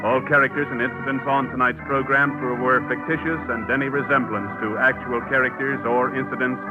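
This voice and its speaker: A man reading a formal radio announcement in a crisp, formal manner. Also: medium pitch, steady tone, fairly quick, dull audio. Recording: old radio recording under loud organ music.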